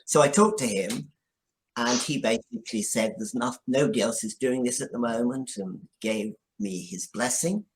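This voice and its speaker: A man speaking as an interview guest, telling an account conversationally with hesitant, halting starts. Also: medium pitch, slight background noise, rough recording. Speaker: guest, scriptwriter. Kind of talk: interview answer, conversational storytelling.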